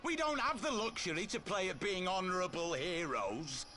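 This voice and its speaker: An actor playing a gruff, solemn and fervent male resistance fighter in a video game cutscene. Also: high voice, expressive, moderate pace, pushed and bright, faint music behind. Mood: angry, defiant.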